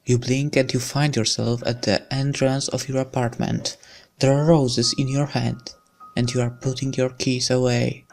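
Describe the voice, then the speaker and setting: A let's player slowly reading a visual novel's story aloud in a hushed, theatrical way, with casual asides. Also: mid-range voice, little pitch movement, vocal fry, a little hiss or hum. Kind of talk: slow dramatic read-aloud of game text with casual asides.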